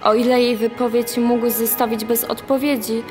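A woman's voice: fairly high pitch, little pitch movement, moderate pace, darkish tone. A young woman reading scripted narration as the narrator of a radio play. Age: young adult. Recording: long reverb, music behind. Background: music.

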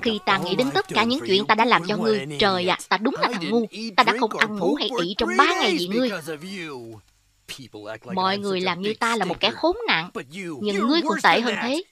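A woman's voice: medium pitch, expressive, a little fast, a crowd in the background, lightly acted. A woman voicing a betrayed, vengeful male cartoon animal, accusing and threatening. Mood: angry, threatening. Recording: loud music under the voice.

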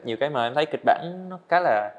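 A man's voice: on the high side, lively intonation, quick pace, dull audio. A young man, a guest animator, earnestly explaining his work step by step in a casual interview on a studio set.

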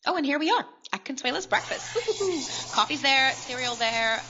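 A woman's voice: sits high, lively intonation, normal speed, bright, forceful tone, highs cut off, slight background noise, heavily acted. An actress playing a confident, playful young businesswoman who teases and laughs. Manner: bubbly, teasing, laughs often.

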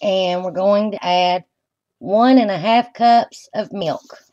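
A woman's voice: mid-range voice, slow pace, a little mellow. A woman giving plain, folksy step-by-step cooking instructions as she works at the stove in a home kitchen. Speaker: home cooking show host. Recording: dull lo-fi camera audio, kitchen noise.

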